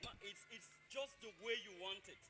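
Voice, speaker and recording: A man's voice: sits high, moderate pace, music in the background. A man playing a timid, flustered house servant in a stage play, hesitant, stammering and deferential. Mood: eager to please. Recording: clearly noisy stage recording.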